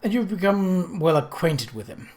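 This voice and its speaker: A narrator voicing a firm, probing gentlewoman. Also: mid-range voice, sing-song, pitched above the performer's own voice. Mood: probing, softened. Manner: measured, firm, inquisitive. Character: firm, probing young gentlewoman questioning her maid.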